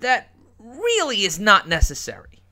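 A woman playing a polite, lively young DJ. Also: mid-range voice, unhurried, full character voice. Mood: flustered. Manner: polite, flustered, apologetic. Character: polite young male alt-rock radio DJ. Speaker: radio host.

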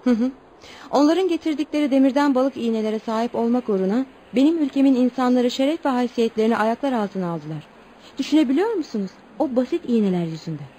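Wistful young tribeswoman, played by an actress, high voice, formal storytelling delivery, radio play with light background noise. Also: expressive, quick pace, dark tone, natural voice, poor audio. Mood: troubled, earnest. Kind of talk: radio theatre acting.

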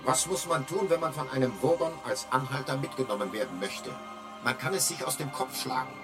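An actor voicing a talking electronic guidebook in a radio drama, a drily authoritative male narrator, measured and deadpan. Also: mid-range voice, close to an everyday voice. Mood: dry, instructive. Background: music.

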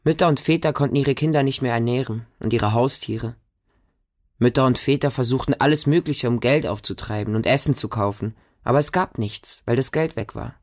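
An actor playing a wounded, innocent boy who tells of his childhood in a radio drama, in simple, deliberate, repeated phrases. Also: mid-range voice, a little mellow, no room sound, studio quality, lightly acted. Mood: haunted remembering. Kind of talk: radio drama monologue, storytelling.

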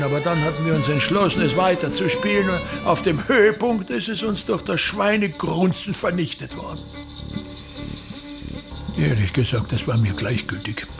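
An actor playing a disdainful, self-pitying middle-aged touring theatre man in a radio play, declaiming and complaining grandly with a gravelly voice. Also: a little high. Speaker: actor playing a travelling playwright-actor. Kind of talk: theatrical radio drama monologue.

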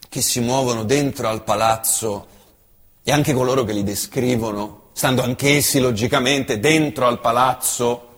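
Husky-voiced man declaiming in a reverberant hall. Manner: impassioned declamation, swinging from loud outbursts to hushed low lines. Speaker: stage actor. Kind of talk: theatrical monologue.

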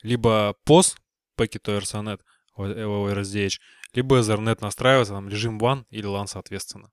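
Smooth, low-voiced man chatting. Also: fairly even intonation, a little fast, no echo, clean studio sound. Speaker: podcast participant asking questions. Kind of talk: casual technical chat.

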